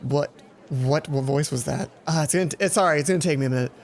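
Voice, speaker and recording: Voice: mid-range voice, quick pace. A let's play host reading a visual novel aloud and breaking off to add comments of their own. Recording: music under the voice. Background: game music.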